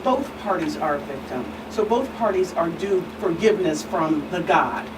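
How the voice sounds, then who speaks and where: A woman with a fairly low voice speaks as an expert guest in an earnest discussion. She is measured and emphatic, breaking her points into short phrases. The microphone is some way off, with clear noise behind her. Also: expressive. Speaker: guest expert, reverend and attorney. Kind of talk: earnest talk show discussion. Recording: distant mic, clear background hum.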